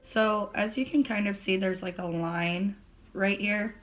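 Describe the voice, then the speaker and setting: A young woman with a slightly raspy voice, still recovering from laryngitis, chatting casually through a makeup tutorial with lots of fillers. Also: medium pitch, fairly even intonation, measured pace. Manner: chatty, filler-heavy step-by-step explaining. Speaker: beauty vlogger.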